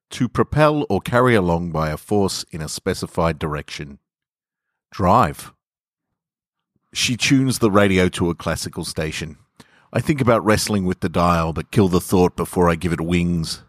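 Australian male podcast host and story reader. Low voice, very dry close-miked sound. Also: animated, a little slow, dry recording. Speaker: podcast host and author reading own stories. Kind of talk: casual intro and measured literary story reading. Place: garage.

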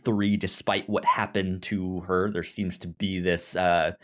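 Male podcast co-host, slow and halting, stammers over words, casual chat style. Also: deep voice, little pitch movement.

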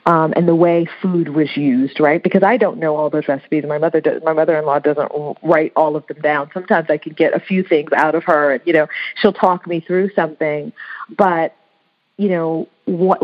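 Woman, lower voice, reflective author guest, lo-fi sound. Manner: halting, repeats words while searching for them. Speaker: author being interviewed. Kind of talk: reflective conversation. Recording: dull lo-fi audio.

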